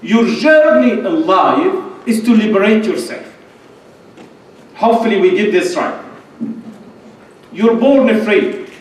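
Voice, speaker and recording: A man's voice: high voice, lively intonation, slow pace, long pauses. A middle-aged man giving an impassioned, emphatic religious and political speech in short repeated phrases. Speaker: conference speaker. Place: large indoor lecture hall at a podium. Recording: echoey hall, distant microphone.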